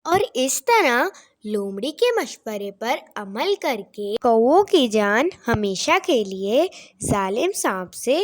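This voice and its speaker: A woman tells a children's story in a very high voice. The intonation swings widely and the pace is slow and measured. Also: very animated. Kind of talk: scripted children's story narration.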